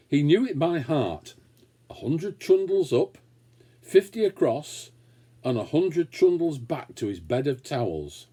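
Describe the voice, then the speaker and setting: Man, children's story narrator, expressive, very varied pitch, mellow, dramatic pauses. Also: breathy edge. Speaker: story reader.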